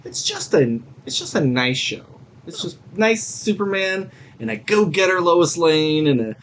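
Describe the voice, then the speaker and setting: A man's voice: fairly high pitch, expressive, measured pace, a bit bright, slight background noise. A man co-hosting a podcast, giving his reviews in casual, informal chat. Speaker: podcast co-host reviewing movies and shows.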